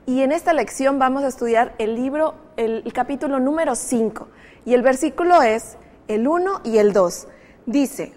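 A woman's voice: fairly high pitch, in a large hall. A woman hosting a Bible study talk show, leading the discussion in a friendly way with frequent fillers. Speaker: host of a Bible study program. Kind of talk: casual religious study chat.

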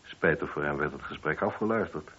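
An actor playing a composed, probing police inspector in a radio play, speaking in a measured, formal way. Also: male voice, a bass voice, a bit bright, slightly rough, heavily acted. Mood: explanatory, assured. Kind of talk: Dutch radio play acting. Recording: dull, muffled old radio recording.

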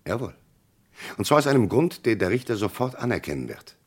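An actor playing a gruff, probing police commissioner in a German radio crime drama, with a gravelly, husky voice and an insistent, commanding manner. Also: male voice, lowish voice, big pitch swings, fairly quick. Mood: stern, pressing.

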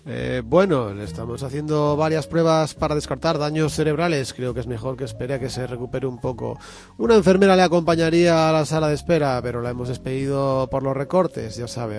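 A man's voice: medium pitch, a little fast, creaky, darkish tone, soft background music, natural voice. A man playing a blunt, evasive hospital doctor in a comic radio soap, hesitant and then crudely offhand. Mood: matter-of-fact.

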